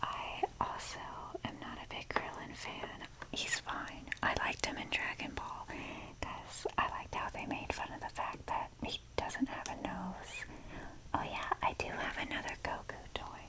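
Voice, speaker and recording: A woman speaking in a soft breathy whisper, rambling casually and hesitantly with wry, self-deprecating humour in an ASMR show-and-tell style. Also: unhurried, rough recording. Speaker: ASMR video creator showing a toy collection.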